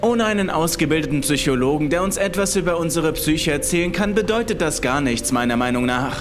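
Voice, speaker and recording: A man's voice: a little high, animated, fairly quick, natural voice. A man voicing a skeptical, dismissive male ocean scientist in a horror audiobook. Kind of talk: horror audiobook character dialogue. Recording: music behind the voice.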